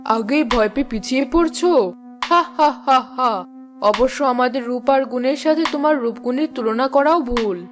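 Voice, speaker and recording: Woman as boastful cartoon daughter-in-law, high-pitched, smug, mocking, competitive, soft music behind. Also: normal speed, lightly acted. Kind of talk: animated fairy-tale cartoon voice acting.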